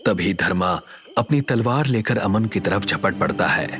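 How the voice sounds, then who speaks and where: A man's voice: fairly low pitch, expressive, measured pace. A man narrating a scripted horror story.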